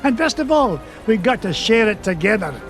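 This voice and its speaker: An actor playing a feisty old Scottish duck, a rich adventuring uncle, crotchety and indignant in a cartoon game. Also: male voice, high-pitched, very animated, moderate pace, creaky, heavily acted. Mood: fond, warm. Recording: music behind the voice.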